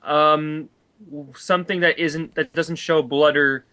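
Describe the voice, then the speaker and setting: A man with a bright, forward voice pitched a little high, talking casually on a voice call. He debates films in an animated, exasperated manner. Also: takes it slowly, clear, resonant tone. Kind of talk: casual chat, film debate on a group voice call.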